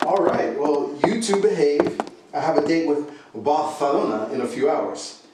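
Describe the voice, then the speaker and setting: A man's voice: a little high, lively intonation, normal speed, heavy background noise, close to an everyday voice. A man acting in a radio drama as an easygoing, worldly uncle who banters with affection and teases protectively. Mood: breezy. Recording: very echoey, distant mic, faint music.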